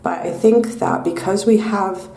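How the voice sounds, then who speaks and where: A young woman giving a tarot reading in a soft, unhurried way with many pauses and ums, her voice nasal from a cold. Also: mid-range voice, gravelly, distant mic, slight background noise. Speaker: tarot reader. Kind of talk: pick-a-card tarot reading. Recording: echoey room, mic set back.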